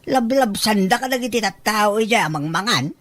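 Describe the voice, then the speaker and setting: A woman's voice: medium pitch, animated, normal speed, a bit bright, natural voice. A woman playing a cautious, easily embarrassed older relative in an audio drama, hesitant and mildly scolding. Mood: reluctant, embarrassed.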